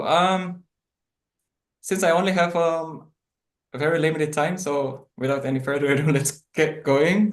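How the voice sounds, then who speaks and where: Indonesian man presenting in an echoey room. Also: a little high. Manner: hesitant, many fillers and restarts. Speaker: guest presenter in an online class. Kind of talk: online class presentation.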